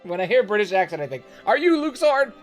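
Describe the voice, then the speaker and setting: A man giving casual, slangy live commentary as a streamer, thinking out loud. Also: high-pitched, sing-song, fast talker. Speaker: streamer reacting to a game story. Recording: music playing behind the voice.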